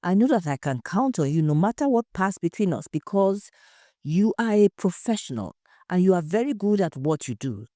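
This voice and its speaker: Woman voicing an indignant husband, low and mellow. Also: big pitch swings, moderate pace, no echo, studio quality. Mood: pleading, earnest. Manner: emphatic, defensive, aggrieved. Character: anxious, indignant husband accused of murder. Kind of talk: audio story dialogue.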